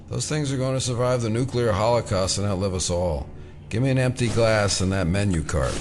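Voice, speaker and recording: An actor playing a skeptical, probing federal agent with a dry, wry manner in an audio drama. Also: male voice, fairly low pitch. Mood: wry, downbeat.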